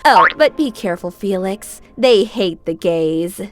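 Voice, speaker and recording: A woman's voice: mid-range voice, expressive, measured pace, lightly acted. A woman voicing a mocking, spiteful teenage girl villain who sneers and taunts. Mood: taunting. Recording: music behind.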